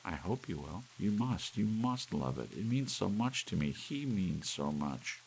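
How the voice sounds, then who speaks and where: Man voicing a coquettish, gushing woman, pitched up. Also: a little slow, gravelly, full character voice, poor audio. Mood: eager, pleading. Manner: gushing, pleading. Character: coquettish, excitable married woman, fond and pleading. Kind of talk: audiobook character voice. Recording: steady rain hiss behind.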